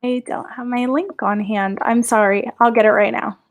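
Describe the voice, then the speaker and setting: A woman's voice: a little high, steady tone, normal speed. A young woman teaching a live online singing class, chatting upbeat with viewers and answering their questions.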